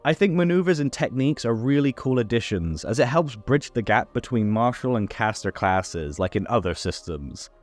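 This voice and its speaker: A man's voice: medium pitch, lively intonation, normal speed, few pauses, faint music behind. A man hosting a tabletop game tutorial, reading a scripted rules explainer.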